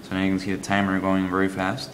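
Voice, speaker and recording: A man reviewing a game app, walking through its screens in a halting, explanatory way with frequent ums. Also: sits low, monotone, slight background noise.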